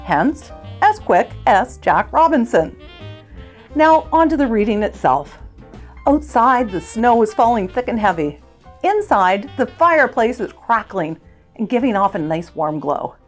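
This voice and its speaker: Lively higher-voiced woman introducing a reading over music. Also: measured pace. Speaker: host introducing a dramatic reading. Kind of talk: scripted introduction.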